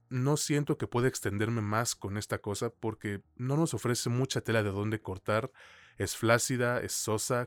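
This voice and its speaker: A man's voice: mid-range voice, no echo. A man with a Mexican accent co-hosting a podcast, giving film opinions in casual, slangy talk. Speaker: podcast co-host reviewing films and series. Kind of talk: casual conversational film review.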